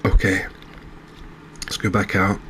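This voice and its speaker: A man giving gentle, unhurried ASMR commentary over a cozy fishing game, with hesitant, understated phrasing. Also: low-pitched, completely flat delivery, slight background noise. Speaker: host, video game streamer.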